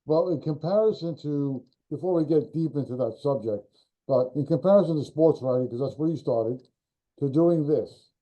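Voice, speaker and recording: A man hosting an interview, asking questions in a halting way while he searches for words. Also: a little high, highs cut off. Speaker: podcast host. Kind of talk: casual interview.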